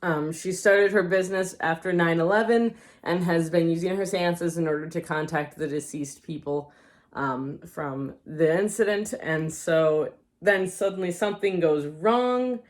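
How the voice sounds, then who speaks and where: A woman's voice: on the low side, unhurried. Chatty woman losing her voice. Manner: chatty, rambling, unsure of details. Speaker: book vlogger. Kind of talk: casual book haul vlog.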